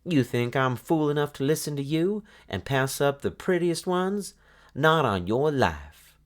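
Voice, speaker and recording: A man's voice: fairly high pitch, lively intonation, measured pace, pitched above the performer's own voice. A man voicing a spoiled, scornful girl in a children's storybook, whining in a folksy rural dialect. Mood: greedy, defiant.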